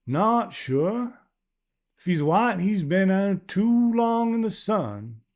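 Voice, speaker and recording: A man voicing a wary, weathered older frontier man with an odd near-Southern drawl, gruff and clipped, in a storybook reading. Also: high voice, big pitch swings, takes it slowly, darkish tone, pitched above the performer's own voice, full character voice. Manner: gruff, clipped, old-fashioned folksy speech.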